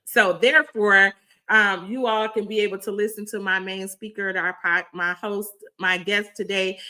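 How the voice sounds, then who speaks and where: A woman hosting a podcast, her voice a little raspy, talking with effusive, repetitive, preacher-like enthusiasm. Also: medium pitch, bright, forceful tone. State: slightly raspy voice. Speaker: podcast host.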